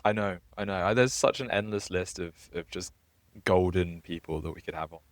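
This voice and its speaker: A man's voice: deep voice, fairly quick, dry recording. A young man co-hosting a podcast, talking in a casual, conversational way. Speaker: podcast co-host. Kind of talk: casual chat.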